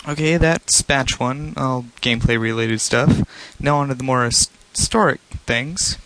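A man's voice: medium pitch, measured pace, a bit bright, poor audio. A man delivering a prepared voice message as a listener sending in questions.

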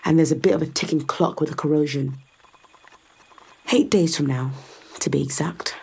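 A woman's voice: sits low, normal speed, vocal fry, dark tone, husky, full character voice. A woman playing a powerful, calculating executive in a science fiction audio drama, sardonic and controlled as she persuades and explains. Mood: grave, matter-of-fact.

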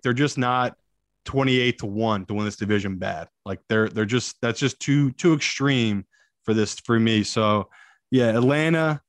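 A man's voice: fairly low pitch, dry recording, clean studio sound. A man co-hosting a betting show as an analyst, chatting casually in a blunt, opinionated way with emphatic repetition. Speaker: sports betting analyst, podcast co-host. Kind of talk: casual sports betting chat.

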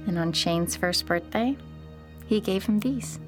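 A woman playing a kind but uneasy young boy's mother, speaking gently and hesitantly in an audio drama. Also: mid-range voice, a little slow, music in the background, lightly acted. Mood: tender. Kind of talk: naturalistic audio drama acting.

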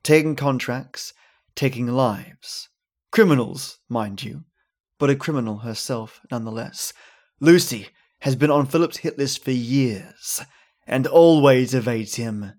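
A man hosting a podcast, delivering scripted thanks and stories in a dramatic way with storytelling pauses. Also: medium pitch, darkish tone. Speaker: podcast host. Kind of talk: theatrical scripted narration and listener thanks.

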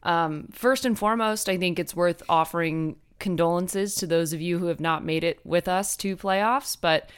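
A young woman co-hosting a podcast in a casual, chatty way, animated and swearing now and then. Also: fairly low pitch, normal speed, hardly any pauses, clean tone. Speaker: podcast co-host. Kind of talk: casual chat.

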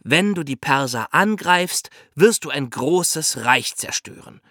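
A man with a higher-pitched, bright voice explains history as a podcast host. His delivery is animated and conversational, told like a story, in a dry, close recording. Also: takes it slowly, no room sound.

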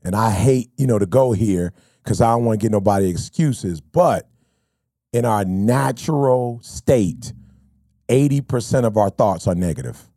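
A man, hosting a podcast in casual, animated talk full of slang and stories. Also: lowish voice, unhurried, no echo, studio quality. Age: 50s. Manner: animated, storytelling, slangy with bro and you feel me. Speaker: podcast host. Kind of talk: casual motivational chat.

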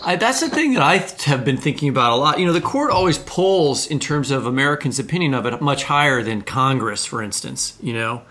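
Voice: medium pitch, animated, a little fast, few pauses, fairly bright tone, slight background noise. A podcast host in casual discussion, thinking aloud tentatively and correcting themselves along the way.

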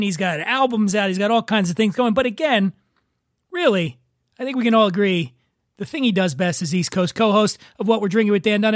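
A man's voice: sits high, fairly bright tone, no room sound, studio quality. A man hosting a podcast over a video call, talking in a loose, joking, rambling way. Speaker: podcast host. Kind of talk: casual chat on a video call.

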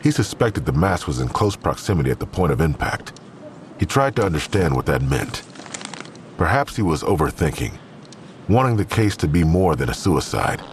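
A man's voice: extremely low pitch, sing-song, normal speed, slight background noise. A man narrating a scripted crime audio drama in the third person.

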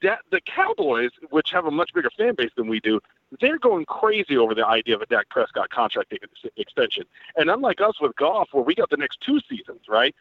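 A man speaks quickly over a muffled, phone-like connection with very little room sound. He banters casually about sports as a guest journalist, mixing crude jokes with mock-serious analysis. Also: mid-range voice, no echo. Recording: muffled phone-like line, dry.

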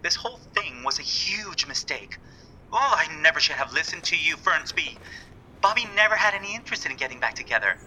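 An actor playing a smarmy, exasperated musical writer in an audio comedy, curt and melodramatic. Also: male voice, a little high, big pitch swings, a little fast, sharp tone, a little hiss or hum, full character voice, poor audio. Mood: bitter, exasperated. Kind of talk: audio comedy drama acting.

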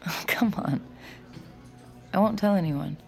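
An actress playing a flustered, warm-hearted working woman in naturalistic audio drama, speaking casually and haltingly. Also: on the low side, gravelly, faint music behind. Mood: casual, relieved.

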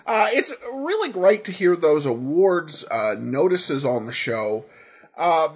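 A man's voice: on the high side, darkish tone, breathy edge, dry recording. A man hosting a podcast, giving commentary and sponsor reads into a close mic. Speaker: podcast host.

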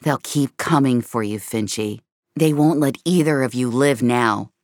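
A woman voicing an aging, cold but regretful male agent in an audio drama, grave and measured. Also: a bass voice, a little mellow, dry recording, studio quality. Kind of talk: dramatic audio fiction acting.

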